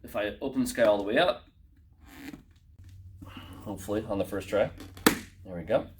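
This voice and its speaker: Casting: man, lowish voice, informal video presenter, slangy and unscripted. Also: moderate pace, background noise. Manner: informal, slangy, addressing viewers as guys. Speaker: racing driver presenting a product unboxing. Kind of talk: casual unscripted product walkthrough.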